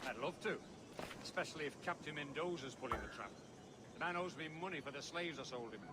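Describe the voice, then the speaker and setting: An actor playing a callous, impatient English slave-trading merchant in a game cutscene. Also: male voice, on the high side, lively intonation, fairly quick, heavy background noise, close to an everyday voice. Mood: haughty. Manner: brusque, impatient, haughty. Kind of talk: video game cutscene acting. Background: loud music.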